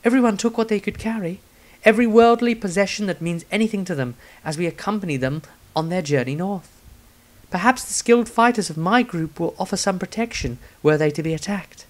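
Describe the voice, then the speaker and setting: A man voicing a gentle, observant woman reading her diary in a fantasy audiobook, reflective and measured. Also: sits high, pitched above the performer's own voice, close to an everyday voice. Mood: quietly watchful.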